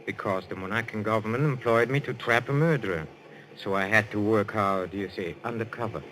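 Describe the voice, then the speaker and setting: Man playing a low-voiced, suave French detective. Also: fairly bright tone, lightly acted. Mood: smoothly amused. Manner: suave, deliberate, theatrical. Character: suave, self-assured French detective posing as a spiritual medium. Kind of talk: 1940s radio acting. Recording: noisy vintage radio recording.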